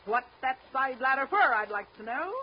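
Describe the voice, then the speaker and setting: Casting: curt, domineering mother and boat boss, sharp-tongued, dull old radio sound with light hiss. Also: high voice, animated, normal speed. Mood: scolding. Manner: scolding, bossy, curt. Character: domineering, sharp-tongued middle-aged riverboat widow and mother. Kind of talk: 1930s radio drama acting. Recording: dull, lightly noisy 1930s radio recording.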